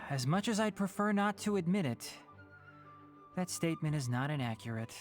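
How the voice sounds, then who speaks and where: A man's voice: a little high, expressive, normal speed, faint music behind, natural voice. A man playing a sensible young scholar in a game cutscene, dry, articulate and matter-of-fact. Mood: wry. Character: wry, sensible young male scholar and guardian. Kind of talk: video game cutscene acting.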